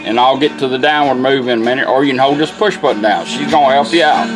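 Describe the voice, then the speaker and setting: A man's voice: mid-range voice, fast talker, music in the background, rough recording. A man giving a casual, plain-spoken, step-by-step hands-on tutorial. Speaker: product demonstrator, radio restorer. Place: indoor workbench.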